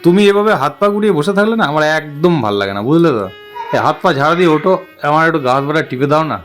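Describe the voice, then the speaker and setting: A man's voice: fairly high pitch, lively intonation, racing, soft background music, close to an everyday voice. A man playing a hapless, henpecked village husband in a comic cartoon, rambling and repeating himself in bewildered complaint. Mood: bossy, impatient.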